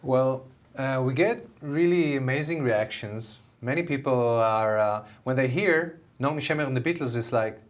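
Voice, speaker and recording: Middle-aged Israeli-accented musician, eager guest, average pitch. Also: male voice, moderate pace. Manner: enthusiastic, many fillers and restarts. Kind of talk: TV interview answers.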